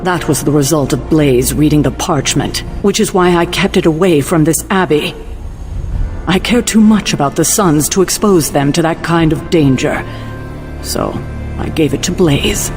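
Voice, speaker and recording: Actor as a proud, exasperated higher-voiced leader. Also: male voice, moderate pace, soft background music, close to an everyday voice. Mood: defensive. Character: exasperated, proud, protective male leader, stern and proper. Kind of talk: video game cutscene acting.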